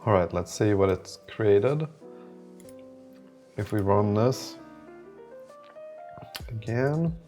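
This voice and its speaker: A man talking casually and haltingly as he thinks aloud through his work in a vlog. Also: lowish voice, lively intonation, dark tone, faint music behind. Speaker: app developer documenting his work.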